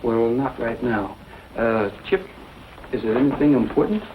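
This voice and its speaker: An actor playing a kindly, steady young cowboy hero in a 1940s western drama, on a dull, noisy recording. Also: male voice, mid-range voice, moderate pace, natural voice. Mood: reassuring. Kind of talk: 1940s film and radio western acting. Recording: dull lo-fi old recording, background noise.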